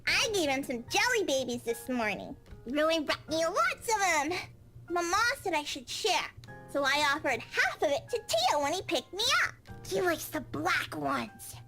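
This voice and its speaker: A woman's voice: high-pitched, very animated, normal speed, bright, forceful tone, faint music behind, heavily acted. A woman voicing a cheerful, innocent little girl in a visual novel game, with an eager, chatty, childlike manner.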